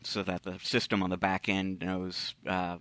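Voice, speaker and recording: Low, bright-toned male podcast co-host. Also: little pitch movement, moderate pace, no echo. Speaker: podcast co-host, tech industry commentator. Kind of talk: casual explanatory podcast commentary.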